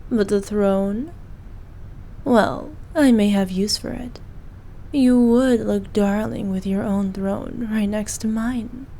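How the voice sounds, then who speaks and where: A woman playing a regal, possessive evil queen who dotes on her knight in an intimate ASMR roleplay, speaking formally and softly, at times in breathy whispers. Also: mid-range voice, steady tone, slight background noise. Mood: scornful, scheming. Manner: regal, slow, archaic formal speech.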